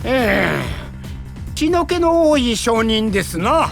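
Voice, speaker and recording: A man's voice: very high-pitched, very animated, slow pace, creaky, heavily acted. A man playing a credulous, easily flustered older judge in an audio drama, with formal, old-fashioned phrasing. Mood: mildly curious. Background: background music.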